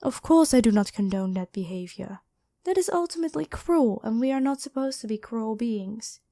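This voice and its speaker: Gentle, mellow angel healer played by a woman. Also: fairly high pitch, expressive, normal speed. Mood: earnest, disapproving. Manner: gentle, soothing speaking to one listener. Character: gentle, dutiful angel, former human medic, caring. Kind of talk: ASMR roleplay.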